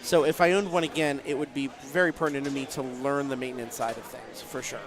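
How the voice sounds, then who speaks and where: A man's voice: medium pitch, fairly even intonation, fast, a crowd in the background. A man in his late thirties, joking and chatting casually while commentating a pinball league stream from a bar arcade. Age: 30s. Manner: chatty, joking, self-deprecating. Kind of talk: casual chat.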